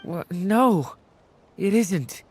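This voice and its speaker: An actor playing a kind, earnest young traveler in a video game, sincere and a little hesitant. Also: male voice, high-pitched, expressive, drawn-out words, a little hiss or hum, heavily acted. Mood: startled, sympathetic. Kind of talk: dramatic video game voice acting.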